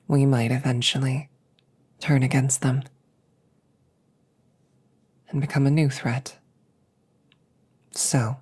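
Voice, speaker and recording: Woman voicing a very low, breathy, slow female android. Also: exaggerated voice. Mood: grave, measured. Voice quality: soft, breathy, often near a whisper. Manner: slow, measured, soothing, formal phrasing. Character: calm, protective female combat android, wounded but reassuring. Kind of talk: intimate roleplay audio drama, sleep aid.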